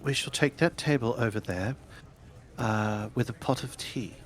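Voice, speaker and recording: A man's voice: mid-range voice, unhurried, natural voice. A man playing a polite, formal samurai in a tabletop game, speaking courteously and with some hesitation.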